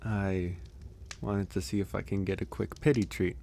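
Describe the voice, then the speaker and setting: Man acting as gentle loner werewolf, very low voice, hesitant soft delivery, ASMR roleplay, faint background noise. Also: flat delivery, vocal fry. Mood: sheepish, amused. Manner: hesitant, soft-spoken, unhurried with sighs and pauses. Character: gentle solitary male werewolf caring for an injured stranger.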